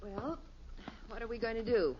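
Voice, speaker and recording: A woman's voice: fairly high pitch, normal speed, lightly acted. An actress playing a sensible, put-upon middle-aged American mother in a 1950s radio sitcom, dry and sarcastic. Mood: resigned. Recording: muffled, noisy old radio recording.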